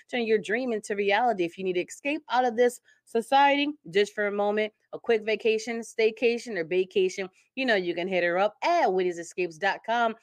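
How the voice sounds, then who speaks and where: A woman's voice: mid-range voice, no room sound, studio quality. A woman in her thirties from Connecticut, hosting a casual interview show in a chatty, upbeat way and laughing often. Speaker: podcast host.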